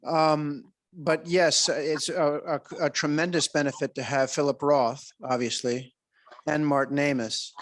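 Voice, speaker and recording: A man's voice: fairly high pitch, steady tone, unhurried. A man interviewing a film director at a festival Q&A, full of ums and restarts as he frames his questions. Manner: hesitant, many ums and restarts.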